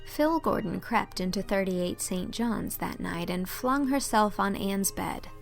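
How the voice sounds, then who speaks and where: Creaky-voiced woman narrating a novel. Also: medium pitch, lively intonation, measured pace, soft background music. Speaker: audiobook narrator. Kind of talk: scripted literary narration.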